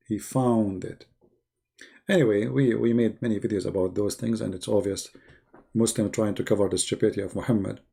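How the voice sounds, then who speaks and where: A man hosting a livestream, rambling in a mocking, joking tone with a gravelly voice. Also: lowish voice, mellow. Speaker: livestream host. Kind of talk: casual livestream chat.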